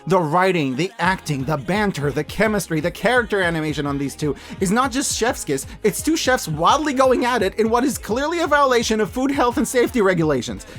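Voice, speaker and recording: A man's voice: sits high, very animated, brisk, few pauses, a bit bright, faint music behind. A man giving scripted review commentary as a host, with an emphatic, playful, colloquial delivery. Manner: emphatic, playful, colloquial, with dramatic repeats for effect. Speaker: host of a yearly media review show.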